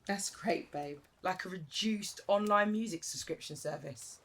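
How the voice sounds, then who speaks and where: An actress playing a supportive, affectionate, gently teasing girlfriend in intimate audio play dialogue. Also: fairly low pitch, measured pace, a little hiss or hum. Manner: encouraging, affectionate.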